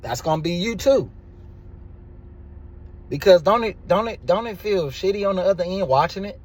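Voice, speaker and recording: Crude, animated young man ranting. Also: moderate pace. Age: 20s. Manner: crude, animated, repetitive, swings between low mutters and loud outbursts. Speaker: dating commentator. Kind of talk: casual storytelling rant.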